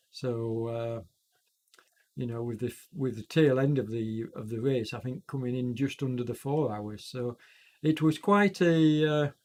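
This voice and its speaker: A man hosts live commentary on an online cycling race while fighting a chest cold, so his voice sounds congested. His pitch sits in a middle register. He rambles, fills gaps with ums, and corrects himself mid-sentence. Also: a little mellow. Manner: rambling, self-correcting, many fillers. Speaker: e-racing commentator and streamer.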